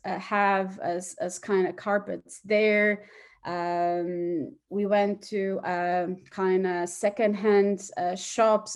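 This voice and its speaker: A woman's voice: medium pitch, darkish tone. A woman from Portugal, answering conversationally as an interview guest. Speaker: interview guest, start-up hub operations chief.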